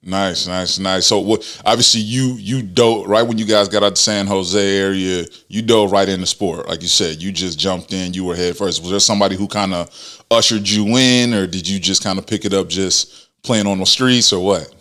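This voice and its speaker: Podcast host, young man, deep voice, casual and affirming with his guest. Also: steady tone, normal speed, fairly bright tone. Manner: hyped greeting, then easy affirming interviewer.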